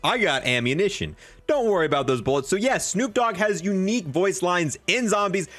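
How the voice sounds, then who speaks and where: A man's voice: high-pitched, animated, a little fast, a bit bright, no echo, faint music behind. A young man giving casual, enthusiastic, slangy commentary as he reviews a video game. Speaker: gaming video creator.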